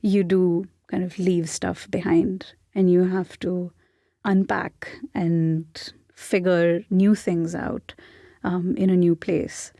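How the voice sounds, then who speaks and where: A young woman from India speaks with an Indian accent, musing aloud and restarting her thoughts as she answers. Her voice sits a little low and sounds mellow and warm. It is recorded dry, with no room echo. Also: little pitch movement, takes it slowly. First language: Hindi. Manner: thoughtful, searching, with frequent restarts. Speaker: podcast guest. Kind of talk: reflective conversational interview.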